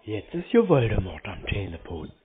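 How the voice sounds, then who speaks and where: A man talks casually on a phone call. His replies are clipped, evasive and drily taunting, with a lively pitch swing. The recording is muffled and lo-fi. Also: moderate pace, slightly rough. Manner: terse, evasive, deadpan taunting. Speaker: scam baiter answering calls.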